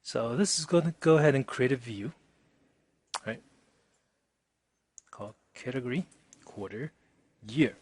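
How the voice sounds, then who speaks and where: A man teaching a casual software demonstration, informal and chatty, often checking in with the listener, with a husky voice. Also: medium pitch, lively intonation, a little slow, long pauses, dry recording. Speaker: instructor.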